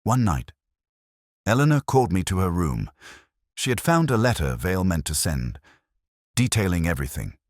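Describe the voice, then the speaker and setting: A male narrator plays a weary old magistrate from a detective story, burdened with guilt. The voice is very low yet lifts and falls markedly, confessing in halting, formal phrases. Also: sing-song, dry recording, studio quality. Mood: guilty confession.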